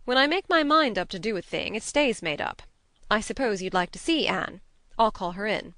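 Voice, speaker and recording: A firm, measured middle-aged spinster, as a woman reading the book puts her on. Her bright voice moves lively in pitch, close and dry. She speaks with restrained sternness. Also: no echo, close to an everyday voice. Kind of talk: audiobook character reading.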